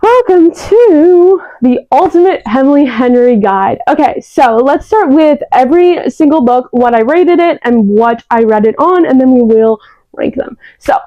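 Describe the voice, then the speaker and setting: A young woman chatting excitedly about books she loves, gushing and doubling up her words. Her voice sits a little high but sounds mellow, and the recording is dry and slightly dull. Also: a little slow, no room sound. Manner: gushing, effusive, repeats words for emphasis. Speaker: book vlogger. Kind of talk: casual chatty book review. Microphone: new external microphone.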